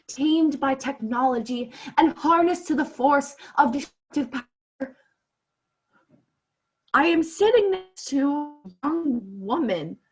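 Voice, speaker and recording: A teenage woman playing an earnest, grandiose war correspondent, declaiming floridly in a student radio play. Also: high voice. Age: teens. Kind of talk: mock radio war reporting. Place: bedroom over a video call. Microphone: computer microphone on video call.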